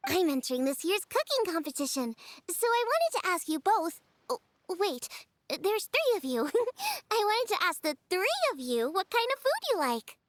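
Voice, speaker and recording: A woman's voice: extremely high pitch, very animated, moderate pace, dry recording. A woman voicing an earnest, upbeat young girl cook in a video game, eager and friendly. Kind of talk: video game character dialogue.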